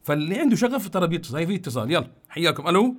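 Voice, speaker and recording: A man hosting a call-in radio show, friendly and hurried, repeating his greetings to callers. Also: on the high side, big pitch swings. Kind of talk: casual call-in radio talk.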